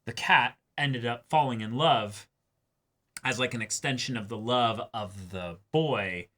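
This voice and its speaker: A man's voice: medium pitch, unhurried, fairly bright tone. A man co-hosting a reaction show, talking casually and wordily as he analyses an anime episode.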